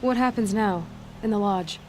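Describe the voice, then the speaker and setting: A woman's voice: medium pitch, normal speed, darkish tone, natural voice. A plain-spoken young huntress in a video game, played by an actress. She has a mid-range voice and a casual, direct, dryly wry delivery. There is music behind her. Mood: friendly, curious. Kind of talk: naturalistic video game acting.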